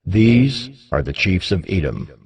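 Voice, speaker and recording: A man reading scripture as scripted, dramatized narration, in a solemn, measured manner with deliberate pauses. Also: low voice, big pitch swings, a little mellow, husky. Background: background music.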